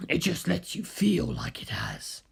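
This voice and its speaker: A narrator voicing an aloof, knowing superhuman man with a heavy voice and a slow, dismissive manner. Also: wildly swooping pitch, vocal fry, husky, heavily acted. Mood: calm, knowing. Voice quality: very deep, heavy voice.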